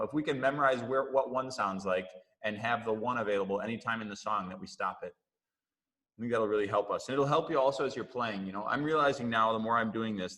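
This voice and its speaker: A man's voice: fairly low pitch, a bit bright, poor audio. A man leading an online music class, explaining things loosely with lots of fillers over a video call. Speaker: music teacher leading a group session. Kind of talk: casual online class talk.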